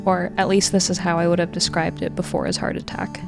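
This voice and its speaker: Low, creaky young American woman narrating evenly. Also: flat delivery. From Colorado, United States. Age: 20s. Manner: measured, reflective storytelling. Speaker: narrator of a personal story. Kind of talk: scripted first-person narration. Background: music.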